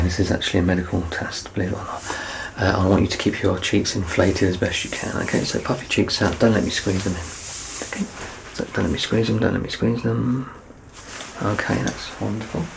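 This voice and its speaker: A man in his thirties speaks in a deep, softly creaking voice, gently guiding a patient in an ASMR medical roleplay. He is reassuring and self-deprecating, often dropping near a whisper. There is clear background noise behind him. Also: poor audio. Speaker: ASMR roleplayer playing a doctor. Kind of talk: soft-spoken ASMR medical exam roleplay.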